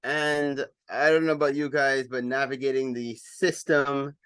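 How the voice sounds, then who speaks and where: A man's voice: mid-range voice, little pitch movement, a little slow, fairly bright tone, smooth, dry recording. A man hosting a casual radio interview, talking loosely with many fillers and restarts. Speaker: radio show host.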